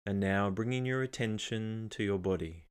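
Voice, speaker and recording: Male meditation guide, low pitch, calm and measured delivery, unhurried phrasing with room to pause. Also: clean tone.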